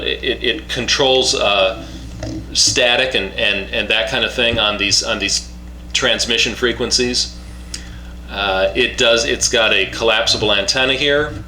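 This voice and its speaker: A man giving a casual, unscripted product demonstration in a halting way, repeating and restarting his phrases. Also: medium pitch, sharp tone. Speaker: product reviewer demonstrating a two-way radio. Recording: roomy echo, faint background hum.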